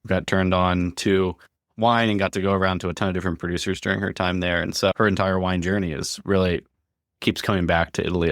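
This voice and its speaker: Deep voiced guy co-hosting a podcast, pretty monotone, casual, no room sound. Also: no echo, clean studio sound. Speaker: podcast co-host. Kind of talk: casual conversational podcast talk.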